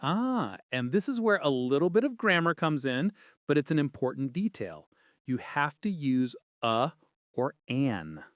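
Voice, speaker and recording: Animated man co-hosting lessons. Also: mid-range voice, unhurried, no echo, studio quality. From Canada. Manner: inquisitive, explanatory. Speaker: podcast co-host. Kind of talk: scripted conversational English lesson.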